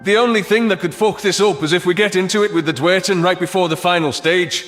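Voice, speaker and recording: A male narrator delivers a dramatic first-person sci-fi story. His voice is on the higher side, and faint music plays behind the scripted reading. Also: fast talker, a bit bright. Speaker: audiobook narrator. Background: background music.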